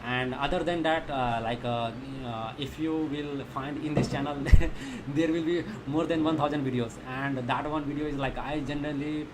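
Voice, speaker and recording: A man with an Indian accent, a native Thethi speaker, chats casually on a coding livestream in halting, repetitive English, searching for his words. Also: a little high, fairly even intonation, noisy background. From Magadha region of India. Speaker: live coding streamer.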